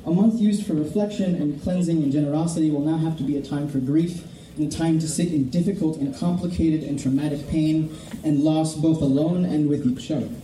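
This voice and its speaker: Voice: mid-range voice, steady tone, a little fast, warm tone, a little hiss or hum. A person from Florida giving an earnest, impassioned speech at a memorial vigil, solemn and rising to fervor. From Florida, United States. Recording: echoey and distant, faint ambience.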